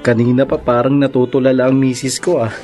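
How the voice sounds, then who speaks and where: An actor playing a polite, earnest old flame in a radio drama, courteous and a little hesitant. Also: male voice, medium pitch, little pitch movement, fairly quick, darkish tone, faint music behind, close to an everyday voice. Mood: tender. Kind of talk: Filipino radio drama acting.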